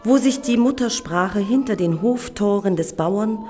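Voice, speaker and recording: A woman narrating a documentary in a measured, solemn reading voice. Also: medium pitch, lively intonation, over music.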